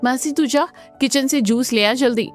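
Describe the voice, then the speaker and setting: A woman's voice: sits high, normal speed, faint music behind, close to an everyday voice. A woman voicing kind, caring women of a family in a Hindi cartoon, speaking emphatically and expressively. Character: kind, indulgent mother and her caring, chirpy daughters.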